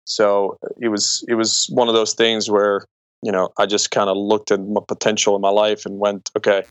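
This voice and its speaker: Low-pitched, even-toned man from Croatia. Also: normal speed, no echo, low-quality recording. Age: 40s. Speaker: podcast guest, entrepreneur and speaker. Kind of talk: conversational interview, personal storytelling.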